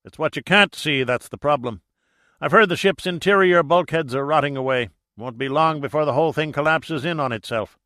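A man's voice: on the high side, brisk, pushed and bright, no room sound, studio quality, voice pushed up. A man voicing a hearty, blunt Irish sea captain and seasoned diver in an audiobook, with salty, colloquial talk. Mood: gruffly appreciative. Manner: colloquial, salty, vivid storytelling. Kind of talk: audiobook character dialogue.

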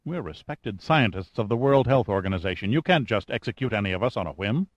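A man's voice: on the low side, lively intonation, a little fast, dry recording, muffled recording. A man voicing a shocked, defiant male scientist who blurts out in disbelief. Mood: incredulous. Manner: incredulous, blurting.

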